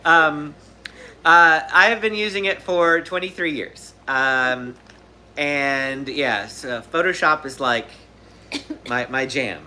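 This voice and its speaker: A man's voice: on the high side, slow pace, pushed and bright, slight background noise. A man in his thirties hosting a live question-and-answer stream, talking casually and rambling with fillers and restarts. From Southern California. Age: 30s. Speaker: livestream host.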